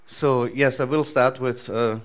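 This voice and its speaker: A man in his thirties with an Austrian accent, giving a relaxed, loosely structured talk to a conference audience in a large lecture hall. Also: medium pitch, unhurried. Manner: relaxed, thinking aloud with frequent fillers and restarts. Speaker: conference speaker.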